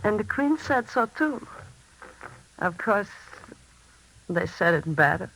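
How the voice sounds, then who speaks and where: A woman's voice: mid-range voice, sing-song, slow, creaky, fairly bright tone, soft background music, full character voice. An actress playing a devout, plain-spoken woman in a 1940s radio drama. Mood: earnest. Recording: faintly noisy old radio recording.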